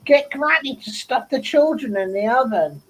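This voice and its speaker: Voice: sits high, expressive, normal speed, noisy background. Chatty speaker. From Devon, England. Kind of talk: casual group chat.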